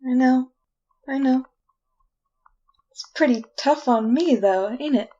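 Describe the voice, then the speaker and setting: A woman's voice: high voice, slow, mellow, highs cut off. A woman playing a resigned, wry condemned prisoner in a 1940s-style radio drama, talking in a folksy, confiding way. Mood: resigned, bitter. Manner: colloquial, confiding. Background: music.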